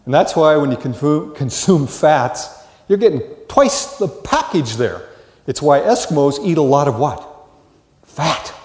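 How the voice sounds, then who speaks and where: Animated older American man lecturing in echoey hall. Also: fairly high pitch, a little slow. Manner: folksy, animated storytelling with rhetorical questions. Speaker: college lecturer. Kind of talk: lively classroom lecture with questions to students. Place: large indoor classroom or lecture hall.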